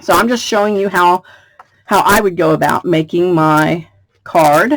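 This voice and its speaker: A woman from the United States with a slightly raspy voice, chatting through a card-making tutorial and laughing between steps. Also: on the low side, a little slow, no echo. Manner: chatty, laughs often, thinks aloud. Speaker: craft demonstrator. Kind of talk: casual craft tutorial.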